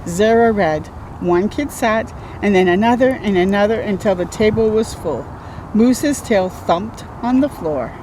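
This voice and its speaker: A woman reading a children's picture book aloud as a storytime host, expressive and chatting to young listeners. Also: mid-range voice, a little slow, slight background noise, low-quality recording. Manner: expressive, interjects comments and questions to young listeners.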